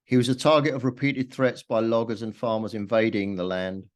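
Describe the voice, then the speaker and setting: A man delivers a formal, prepared speech as an event speaker. His voice sits a little lower than average. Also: fairly even intonation, fairly quick.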